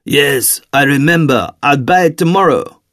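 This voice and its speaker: A man's voice: a little high, a little slow, a bit bright, no room sound, studio quality. Man playing a troubled, uneasy middle-aged man. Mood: broken, uneasy. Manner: subdued, uneasy.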